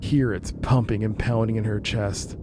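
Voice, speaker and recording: A man's voice: on the low side, fairly even intonation, moderate pace, background noise. A man streaming a game, reading its narration aloud and adding casual commentary.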